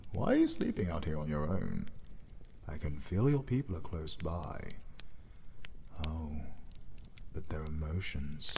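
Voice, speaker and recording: Audio roleplay: man as gentle fatherly British deity, deep and leisurely, theatrical, formal, comforting; faint noise behind. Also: vocal fry, heavily acted. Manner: formal, soothing, theatrical, musing. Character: ancient gentle male god of recovery, kindly, fatherly. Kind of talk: scripted audio roleplay acting.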